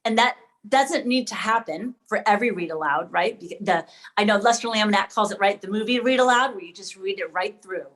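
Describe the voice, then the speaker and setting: A woman's voice: medium pitch, fairly quick, fairly bright tone. A woman hosting an online session for educators, presenting in a conversational way and checking in with listeners. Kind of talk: presenting and moderating a video meeting discussion.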